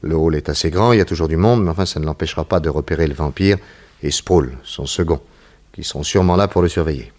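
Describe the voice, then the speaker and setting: An actor playing a shrewd, self-assured undercover detective in a 1960s French radio serial, with a brisk, conversational, commanding way of talking. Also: male voice, very deep voice, a little mellow. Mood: confident, instructing.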